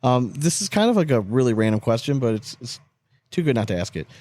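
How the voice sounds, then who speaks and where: An American man in his thirties hosts a loose, bantering interview show. He speaks casually at a middling pitch, joking in a crude way and often repeating a phrase for emphasis. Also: sing-song, fast, darkish tone. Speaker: podcast host.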